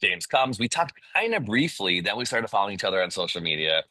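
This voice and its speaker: A deep-voiced man hosts an online interview, upbeat and slangy. His tone is bright and forceful, and the sound is dry with no room echo. He talks over a video call. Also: very animated, fast talker. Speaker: host.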